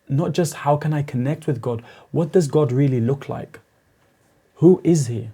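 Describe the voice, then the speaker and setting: A man's voice: mid-range voice, warm tone. A young man from East Africa, a podcast guest telling his spiritual journey in an earnest, searching way. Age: young adult. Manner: earnest, searching, builds to intensity. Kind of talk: reflective personal story.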